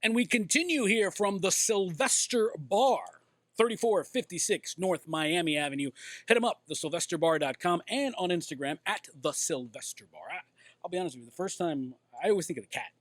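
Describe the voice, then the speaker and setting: A man co-hosting a casual talk show from a bar, animated and jokey. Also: sits high, a little fast, dry recording. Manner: animated, jokey, slips into playful accents. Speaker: co-host.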